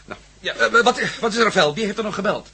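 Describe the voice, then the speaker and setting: Bright, high-voiced, agitated adventurer hero. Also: male voice, fast talker, vocal fry, a little hiss or hum, exaggerated voice. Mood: agitated, disbelieving. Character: embattled male adventurer hero, urgent, baffled, increasingly bitter. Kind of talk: Dutch radio drama acting.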